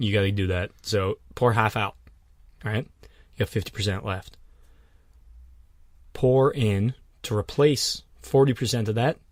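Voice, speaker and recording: A man's voice: fairly low pitch, fairly even intonation, a little slow, pauses often, no echo, clean studio sound. A man co-hosting a casual podcast from a small room at home, tired and telling jokey, rambling stories. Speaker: podcast co-host. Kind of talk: casual banter.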